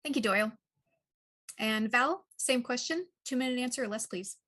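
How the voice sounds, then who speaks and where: Higher-pitched woman moderating a debate. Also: normal speed, a bit bright. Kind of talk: moderating, brief formal prompts.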